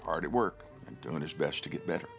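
An actor playing a proud, pushy cowboy senator in an audio drama, pompous, formal and theatrical. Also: male voice, rumbling low, a little fast, gravelly, exaggerated voice. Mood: flustered, chastened. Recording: music under the voice.

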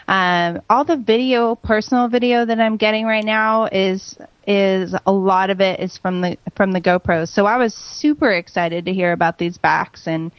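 A woman's voice: medium pitch, moderate pace, dry recording, dull audio. A woman, a wedding photographer, chatting enthusiastically and rambling with many fillers. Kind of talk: casual chat.

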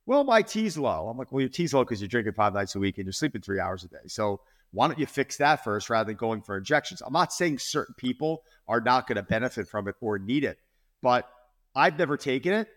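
A man's voice: mid-range voice, very animated, brisk, a bit bright. A man in his forties, a fitness trainer, speaking earnestly in a podcast interview and often repeating words as he makes his point. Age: 40s.

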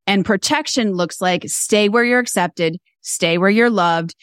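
A woman guest on a podcast interview, talking about business in an animated, emphatic way and building her points through repeated phrases. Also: mid-range voice, unhurried, clean tone, no echo, clean studio sound. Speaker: guest, fashion stylist and business mentor.

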